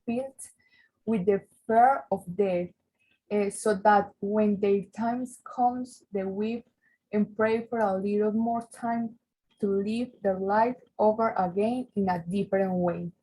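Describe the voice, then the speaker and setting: A woman student reading a text aloud haltingly, stumbling and repeating words. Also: mid-range voice, steady tone, mellow, breathy edge, rough recording.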